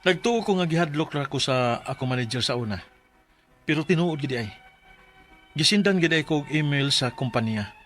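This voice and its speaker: A man's voice: fairly high pitch, a bit bright. A man playing a distressed young employee in a Bisaya radio drama, earnest and pleading, with a Cebuano Filipino accent. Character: distressed young male employee accused of stealing a laptop. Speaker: letter sender in a radio drama. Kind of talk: Bisaya radio drama acting and letter narration.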